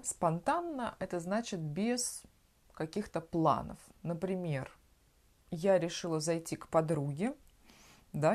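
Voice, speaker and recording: A woman from Russia hosts a relaxed language podcast, speaking in an unhurried, lower voice. She explains words patiently and checks in with the listener as she goes. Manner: explains words simply, often asks "да?". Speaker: podcast host teaching Russian. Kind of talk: slow conversational language lesson.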